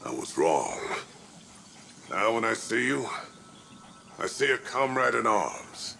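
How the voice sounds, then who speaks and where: An actor playing a grave, principled veteran defender of a sci-fi city in a video game, solemn and earnest, with a gravelly voice. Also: male voice, fairly low pitch, sing-song, takes it slowly, bright, forceful tone, a little hiss or hum, exaggerated voice. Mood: earnest respect. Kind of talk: dramatic game cutscene monologue.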